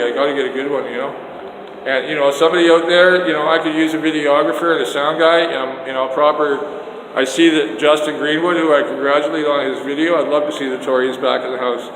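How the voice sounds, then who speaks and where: A loud older man rants with emphatic indignation about politics, recording himself on a phone held out on a selfie stick. His voice sits at a middle pitch and rings through an echoey hallway with a long tail of reverb. Steady noise hums behind him. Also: fairly quick, gravelly. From Canada. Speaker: vlogger. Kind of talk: rambling political vlog. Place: outdoors then indoor stairs and hallway. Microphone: handheld phone on a selfie stick.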